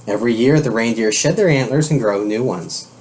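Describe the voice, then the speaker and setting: A man reading a children's storybook aloud in a measured, expressive manner. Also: mid-range voice. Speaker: storybook reader.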